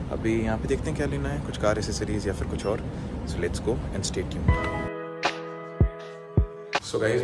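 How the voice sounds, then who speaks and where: Chatty young vlogger man. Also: low voice. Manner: chatty, friendly, sprinkles English phrases, calls viewers bhai and yaar. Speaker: fitness vlogger. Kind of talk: casual vlog chat to camera. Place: indoor rooms, a gym and a bedroom. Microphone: handheld phone selfie and fixed camera. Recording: roomy echo, faint ambient noise.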